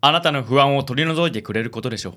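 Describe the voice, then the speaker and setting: A man playing a suave, theatrical gentleman with pompous, chivalrous, flowery speech in a comic radio drama. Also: medium pitch, very fast, lightly acted. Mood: suave, gallant. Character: suave, theatrical gallant man who fusses over ladies.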